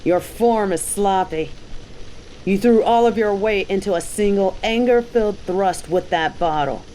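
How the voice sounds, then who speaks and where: A woman's voice: medium pitch, measured pace, warm tone, a little hiss or hum, lightly acted, low-quality recording. Cocky, sarcastic bounty hunter played by a woman. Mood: taunting. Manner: mocking, taunting, slangy. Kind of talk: scripted roleplay audio drama.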